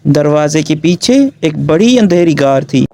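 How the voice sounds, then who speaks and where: Male narrator for a kids' adventure story, voice a little on the high side. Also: lively intonation, measured pace, mellow. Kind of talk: scripted children's story narration.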